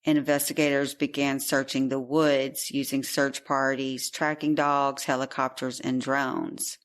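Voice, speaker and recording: A woman with a low-pitched voice co-hosts a true crime podcast. She recounts the case in a chatty, conversational way, reading out the details as she goes. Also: little pitch movement, measured pace. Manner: chatty, laughs easily. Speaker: podcast co-host.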